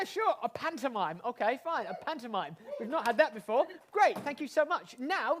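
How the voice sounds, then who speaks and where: British male host for live improv comedy. Very high-pitched, excitable, rambling and effusive. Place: indoors, live online show.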